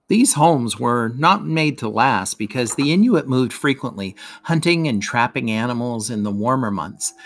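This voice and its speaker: Male narrator, mid-range voice, teacher reading scripted classroom material aloud. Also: mid-range voice.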